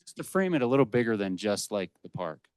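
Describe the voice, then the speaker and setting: A man with a low, clear voice speaks off the cuff as an official on a panel. He is assertive and leans on his key points. The recording is dry and close. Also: very animated, fast, no echo. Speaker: wildlife management official on a panel. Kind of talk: unscripted panel remarks, explanatory. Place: indoor public panel discussion at a library.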